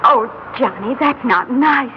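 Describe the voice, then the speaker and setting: A glamorous, self-concerned fiancée played by a woman in a radio melodrama. She beseeches in a high, hesitant voice, on a muffled old recording with plenty of noise. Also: measured pace, close to an everyday voice. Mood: anxious, tender. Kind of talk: melodramatic old-time radio drama acting.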